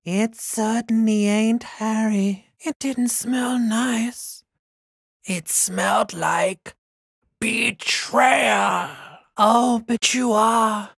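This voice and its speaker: A man voicing a sinister, sneering primal brain in a video game, with a raspy, hissing half-whisper and drawn-out theatrical delivery. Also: sits high, steady tone, fairly bright tone, dry recording, clean studio sound, big-room sound, exaggerated voice. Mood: morbid, taunting. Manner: slow, theatrical, drawn-out, swelling from whispers to cries. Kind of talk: video game voice acting, menacing monologue.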